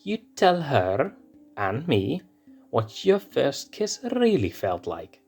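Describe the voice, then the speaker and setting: A man's voice: mid-range voice, wildly swooping pitch, slow pace, darkish tone, slightly rough, soft background music, pitched above the performer's own voice, full character voice. A man voicing a sly, teasing young male lion cub.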